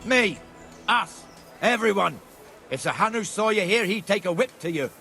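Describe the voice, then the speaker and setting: An actor playing a blunt, gruff, conversational town guardsman in a video game. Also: sits high, animated, normal speed, pushed and bright, soft background music, heavily acted. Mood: scornful, angry. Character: gruff town guardsman, chatty, contemptuous of beggars.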